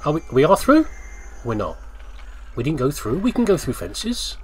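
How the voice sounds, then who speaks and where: A man commentates casually on a video game, thinking aloud in a rambling way. His pitch swings up and down in an animated fashion. A faint layer of game ambience noise sits behind his voice. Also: moderate pace. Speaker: gamer commentating on playthrough.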